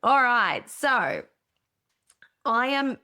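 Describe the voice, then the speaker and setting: A woman's voice: a little high, sing-song, drawn-out words, sharp tone, clear, resonant tone. A woman from Brazil co-hosting a podcast, explaining things in an enthusiastic, casual way with the odd swear word. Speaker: podcast co-host.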